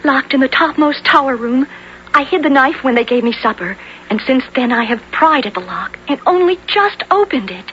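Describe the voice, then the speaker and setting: A high-pitched brave young heiress. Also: female voice, animated, moderate pace, fairly bright tone. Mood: urgent, guarded. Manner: theatrical, earnest, archaic formal speech. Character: brave young orphaned heiress disguised as a boy. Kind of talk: 1970s radio drama acting. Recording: dull 1970s radio broadcast, noisy.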